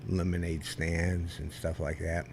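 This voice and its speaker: An older man, a veteran guest, telling stories in a rambling, halting way with many restarts and a loud voice that carries. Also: low voice, flat delivery, creaky, slight background noise. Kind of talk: casual storytelling interview.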